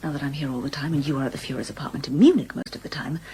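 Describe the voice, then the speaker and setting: An actress playing a bitter, haughty middle-aged matron with a breathy voice in a radio drama. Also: female voice, very deep voice, steady tone, rapid-fire, warm tone, slight background noise. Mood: frosty, scornful. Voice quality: breathy, husky. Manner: frosty, disdainful.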